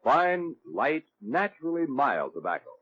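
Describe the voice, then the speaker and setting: A man with a husky voice reading a 1940s radio advertisement as announcer, on a dull old recording. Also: on the high side, big pitch swings, a little slow. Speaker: commercial announcer.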